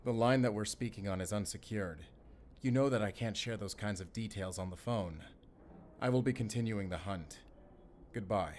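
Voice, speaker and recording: Man playing a low-voiced, composed German wolf hunter. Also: moderate pace, a little mellow, a little hiss or hum, natural voice. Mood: firm, guarded. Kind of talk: cinematic audio roleplay acting, speaking to the listener.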